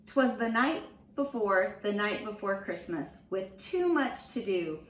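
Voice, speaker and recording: A woman reading a children's storybook aloud in an expressive, animated storytelling manner. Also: mid-range voice. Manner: slow, animated storytelling with acted voices. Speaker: storybook reader. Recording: roomy, distant mic with faint noise.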